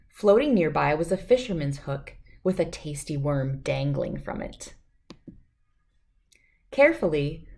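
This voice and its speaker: A woman hosting a read-aloud, telling a children's storybook. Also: lowish voice.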